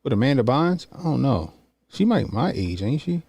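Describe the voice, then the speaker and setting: A man's voice: medium pitch, sing-song, normal speed, creaky, darkish tone, no room sound. A man, chatting loosely and profanely while reacting to a video. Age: 40s. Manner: loose, profane, rambling with slang. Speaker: commentary streamer. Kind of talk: casual reaction stream.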